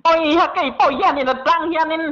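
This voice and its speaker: A woman's voice: very high voice, fast talker, fairly bright tone. A woman in a casual phone conversation, on the receiving end of a call. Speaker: prank call recipient. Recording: dull, lo-fi phone call audio.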